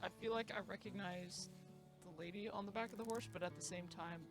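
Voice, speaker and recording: A man with a high voice roleplays a saloon keeper, chatting loosely and rambling with self-deprecating asides. Game music plays clearly behind him. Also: fairly even intonation, brisk. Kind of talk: in-character western roleplay chat.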